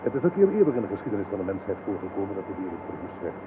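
A man's voice: deep voice, very animated. An older man acting a pompous, deluded old general in a radio play, speaking formally and grandly. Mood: visionary, solemn. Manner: formal, grandiose, measured speech-making. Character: pompous, deluded old general, self-styled modern Noah. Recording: noisy, tinny old radio play recording.

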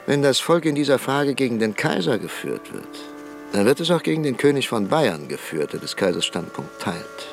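An actor playing an old, stubborn professor and parliamentarian in a German radio play, politely defiant, with measured, formal and deliberate speech. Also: male voice, mid-range voice, sing-song, vocal fry, soft background music. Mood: polite, insistent. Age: older.

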